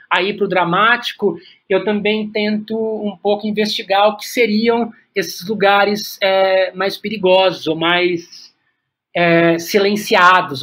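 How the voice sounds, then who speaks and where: A man's voice: sits high, a bit bright. A Brazilian man talks as a guest in an interview. He speaks in a reflective, searching way, often stopping to repeat a word before he finds the rest of his thought. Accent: Brazilian Portuguese. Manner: halting and searching, repeats words as thinking aloud. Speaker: podcast guest, playwright and director.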